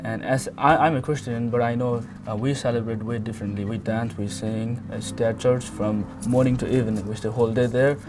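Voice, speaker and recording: Teenage boy from Nepal, halting English. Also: medium pitch, steady tone, music in the background. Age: teens. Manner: halting, restarts often, plain simple English. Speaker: student showing his community. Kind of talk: casual documentary talk.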